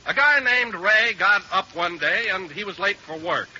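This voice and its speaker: A man with a very bright, high voice delivers a folksy radio ad with swooping intonation. The sound is an old 1940s broadcast with a dull band. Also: animated, moderate pace. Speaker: commercial announcer. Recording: band-limited old radio with faint noise.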